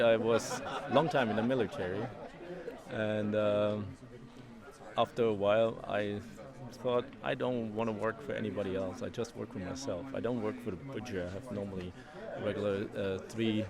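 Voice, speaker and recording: A middle-aged German man with a German accent, a street vendor explaining his work casually in halting non-native English. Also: on the low side. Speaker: street sausage vendor being interviewed. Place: outdoors on a town street. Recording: busy street, crowd noise behind. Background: street crowd.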